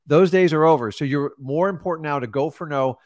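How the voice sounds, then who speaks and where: A middle-aged man hosting a studio podcast, coaching viewers in a forceful, direct way. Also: on the high side, a little fast, dry recording. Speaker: host. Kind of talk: casual podcast coaching talk. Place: studio with backdrop. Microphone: studio mic on boom arm.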